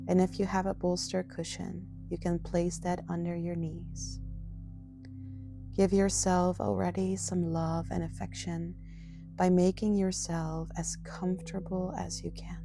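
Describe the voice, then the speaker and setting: A woman guides a relaxation meditation in a gentle, soothing voice. She speaks very slowly, with a fry at the edges of her words. Soft meditation music plays clearly behind her. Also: lowish voice, little pitch movement. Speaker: yoga nidra guide.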